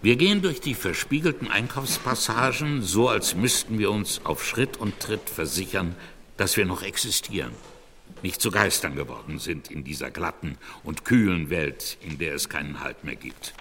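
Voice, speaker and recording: A man's voice: low-pitched, lively intonation, normal speed, sharp tone, a little hiss or hum, full character voice. An actor playing an earnest male cultural critic who delivers a bleak monologue in a rhetorical, emphatic way, as in a radio drama. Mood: earnest, urgent. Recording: long hall reverb.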